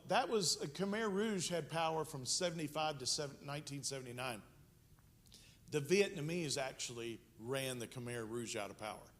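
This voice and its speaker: A man's voice: a little high, fairly bright tone. Man in his fifties, church hall echo. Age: 50s. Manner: explanatory, conversational history talk. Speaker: missionary speaker. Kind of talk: church missions presentation. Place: church sanctuary. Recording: long church hall reverb.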